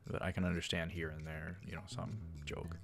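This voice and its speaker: A man's voice: low voice, flat delivery, fairly quick, creaky. A man reading a visual novel aloud as a let's player, adding hesitant, rambling casual commentary. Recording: game music behind. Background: game music.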